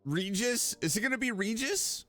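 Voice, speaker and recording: A man's voice: high voice, expressive, normal speed, pushed and bright, faint music behind. A man giving casual game commentary as a streamer, reacting aloud to the story.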